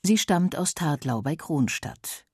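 Mellow documentary narrator over soft music. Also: mid-range voice, expressive, takes it slowly, husky, no room sound, clean studio sound.

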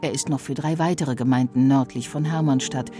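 A narrator reading a scripted documentary voice-over in a measured, even way. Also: medium pitch, over music.

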